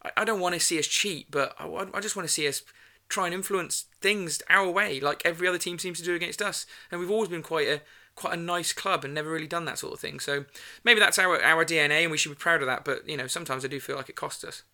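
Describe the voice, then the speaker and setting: Male football podcaster, rapid and sharp, slightly croaky, rambling and self-correcting. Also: fairly high pitch. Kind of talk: casual football chat.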